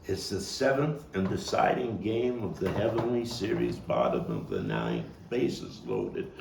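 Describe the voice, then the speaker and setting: A man performing a theatrical stage monologue as a streetwise, nostalgic Brooklyn baseball fan, telling it with deliberate pauses and big swings in volume. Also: sits low, very animated, creaky, darkish tone, heavily acted. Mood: dramatic storytelling. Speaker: actor and playwright performing his own monologue. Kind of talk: dramatic stage monologue at a table read. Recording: roomy, distant mic with faint noise.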